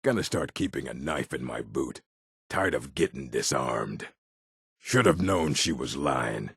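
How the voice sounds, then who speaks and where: An actor voicing a gruff, surly orc bandit for a video game, with a gravelly, husky voice and rough colloquial speech. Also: male voice, very deep voice, expressive, measured pace, fairly bright tone, no room sound, studio quality, exaggerated voice. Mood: bitter, muttering. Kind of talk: video game character voice acting.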